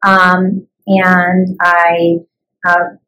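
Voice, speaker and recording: Thoughtful woman teacher pausing with ums. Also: fairly low pitch, completely flat delivery, sharp tone, rough recording. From United States. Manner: thoughtful, pauses often with "um". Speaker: English as a new language teacher. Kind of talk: answering interview questions.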